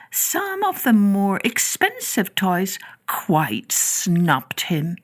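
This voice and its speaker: Expressive woman storyteller, slow and husky. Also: mid-range voice. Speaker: children's storytelling podcast host and reader. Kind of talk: expressive scripted reading of poems and stories for children.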